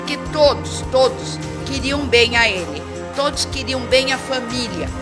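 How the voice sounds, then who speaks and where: A woman with a Brazilian accent, speaking unscripted as a documentary interviewee recalling local history. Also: a little high. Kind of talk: spoken recollection in a documentary interview. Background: music.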